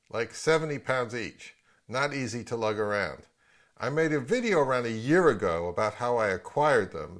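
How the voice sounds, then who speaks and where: A man's voice: medium pitch, a bit bright. A middle-aged man narrating a product review in a conversational, musing way, often posing rhetorical questions as the host.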